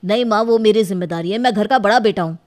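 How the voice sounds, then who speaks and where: A woman's voice: medium pitch, quick pace, a little mellow, lightly acted. A woman voicing a dutiful, stubborn brother in a family moral cartoon, speaking in an insistent, earnest way. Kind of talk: Hindi moral cartoon story acting.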